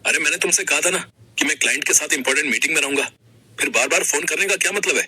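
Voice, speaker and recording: A man's voice: fairly high pitch, rapid-fire, bright, forceful tone, breathy edge, exaggerated voice. A man voicing an arrogant, callous young husband and businessman, curt, scolding and dismissive in manner. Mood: angry, scornful.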